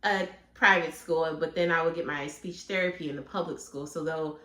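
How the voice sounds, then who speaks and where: A woman's voice: deep voice, fairly even intonation, moderate pace, a bit bright. A woman co-hosting a casual podcast chat, who laughs often and stutters now and then. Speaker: podcast co-host. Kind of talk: casual chat among friends. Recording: echoey room.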